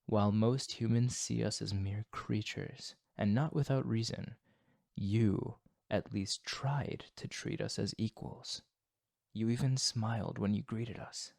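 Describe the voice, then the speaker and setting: A man voicing a remorseful male vampire fighting his bloodlust, in a solemn, hushed, formal ASMR roleplay monologue. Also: on the low side, vocal fry, no echo, clean studio sound, full character voice.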